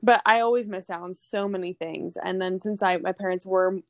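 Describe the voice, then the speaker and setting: Young woman podcast guest, chatty storytelling, mid pitch, dry sound. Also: steady tone, moderate pace, no echo. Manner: chatty, storytelling, many fillers. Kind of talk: casual chat.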